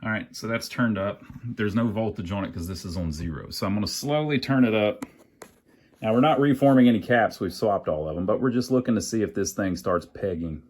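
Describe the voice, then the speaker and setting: Low-voiced man talking through a repair. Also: a little fast, a little mellow. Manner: thinks aloud, folksy, wry humor. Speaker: amateur radio repairer and video host. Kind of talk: casual narration while working on a repair.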